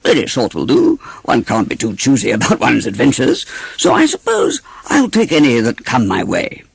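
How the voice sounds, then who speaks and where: An amiable storybook bear, acted out by a man, simple-hearted and never in a hurry. He muses mildly in a husky, creaky voice. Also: medium pitch, huge pitch swings, normal speed, dry recording, heavily acted. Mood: carefree. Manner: mild, musing, humming.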